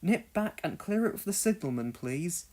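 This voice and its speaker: A practical railwayman on a steam engine in a children's storybook, read by a narrator. He is brisk, urgent and commanding, with very mobile pitch. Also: very animated, a little mellow.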